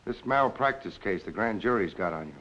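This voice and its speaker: Low-voiced, terse police lieutenant. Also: male voice, animated, fairly quick, fairly bright tone. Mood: cool accusing. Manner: terse, probing, dry. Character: shrewd, controlled police lieutenant pressing a suspect. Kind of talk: 1940s film noir acting. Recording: dull 1940s film soundtrack.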